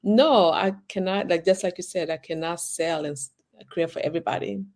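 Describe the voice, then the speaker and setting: Woman from uganda grew up in sweden, low voice, pretty flat, rambles with lots of likes. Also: moderate pace. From Uganda, raised in Sweden. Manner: rambling, many restarts and "like"s. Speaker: guest, designer and business owner. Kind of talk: casual interview chat.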